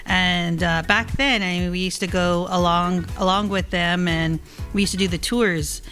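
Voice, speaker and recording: A woman speaks as a guest in a relaxed interview, with a bright, forward voice. She tells her story reflectively, pausing and doubling back on words. Faint music sits behind her. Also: on the low side.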